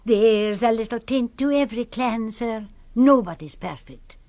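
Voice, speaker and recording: An actress playing a plain-spoken, grimly confiding Scottish widow and governess in a radio play, speaking dramatically. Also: female voice, on the high side, measured pace, a little mellow, no room sound. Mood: frank, confiding.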